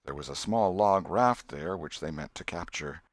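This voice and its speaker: A man reading a classic novel aloud as scripted narration, in a measured, expressive storytelling manner. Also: sits low, no room sound, studio quality. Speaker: audiobook reader.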